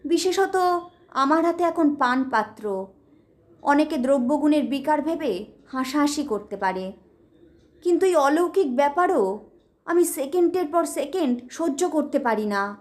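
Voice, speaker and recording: A woman's voice: sits high, expressive, unhurried, long pauses. A woman reading a story aloud in a dramatic storytelling style. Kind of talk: dramatic audio story narration.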